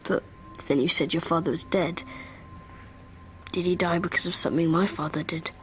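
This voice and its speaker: Woman playing a guarded British schoolboy, low-voiced. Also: steady tone, normal speed, soft background music, natural voice. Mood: wary, intense. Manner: hesitant, clipped, evasive answers. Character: guarded twelve-year-old British schoolboy, anxious and secretive. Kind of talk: naturalistic audio drama acting.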